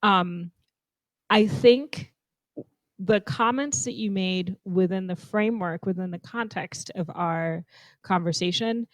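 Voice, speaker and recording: Animated young woman from zambia chatting on a podcast, thinks out loud, dry close mic. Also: on the low side, takes it slowly, dry recording. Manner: animated, thoughtful, laughs often. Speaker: podcast co-host. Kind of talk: casual conversation.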